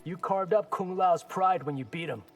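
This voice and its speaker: An actor playing an earnest, determined young warrior giving dry, defiant retorts in video game fight banter. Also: male voice, high-pitched, normal speed, over music.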